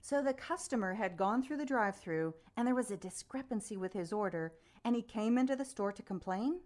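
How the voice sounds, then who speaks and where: An actress playing a composed news special reporter and interviewer in a radio play, formal, measured and probing. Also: female voice, mid-range voice, expressive, darkish tone, lightly acted.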